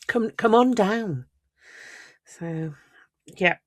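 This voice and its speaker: A woman's voice: medium pitch, animated, very slow, no room sound. A woman co-hosting a casual sports podcast, chatting in a rambling way. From England. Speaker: podcast co-host. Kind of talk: casual chat.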